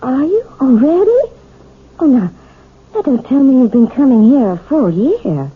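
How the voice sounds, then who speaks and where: An American actress playing a kindly suburban piano teacher in a 1970s radio drama, with a gentle manner. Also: female voice, high voice, very animated, unhurried, breathy edge, full character voice. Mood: gently encouraging. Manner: gentle, encouraging. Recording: thin, phone-like vintage radio sound.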